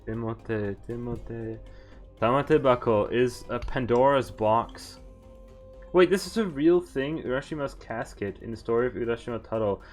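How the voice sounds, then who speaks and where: A man doing casual game-stream commentary, reading dialogue aloud and thinking out loud in informal, sweary language. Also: mid-range voice, normal speed, faint music behind.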